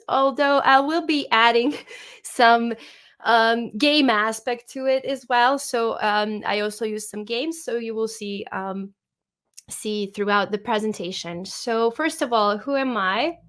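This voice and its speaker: A woman's voice: on the high side, a bit bright. A woman, a music teacher giving an online webinar presentation over a video call, talking hesitantly with many filler sounds. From Serbia. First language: Serbian.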